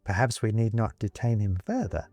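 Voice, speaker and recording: A man voicing an eager, scheming woman who speaks slowly and coaxingly. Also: on the low side, sing-song, dark tone. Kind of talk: audiobook character reading.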